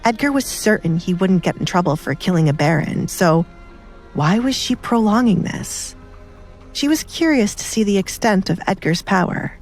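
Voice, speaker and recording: A woman's voice: mid-range voice, lively intonation, normal speed, faint music behind. A woman reading a scripted dramatic story as an audiobook narrator.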